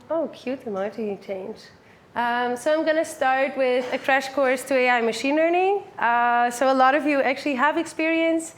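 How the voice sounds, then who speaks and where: A woman in her twenties from the Netherlands, giving a casual, upbeat meetup talk to a full room, with lots of "like" and "um". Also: on the high side. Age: 20s. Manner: upbeat, chatty, many fillers like "like" and "um". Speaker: meetup speaker. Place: full room with a live audience.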